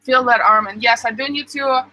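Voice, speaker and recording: A woman's voice: a little high, moderate pace, sharp tone, dry recording. A young woman casually reading and answering viewer comments on a live stream, with lots of ums. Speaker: vlogger reading live chat.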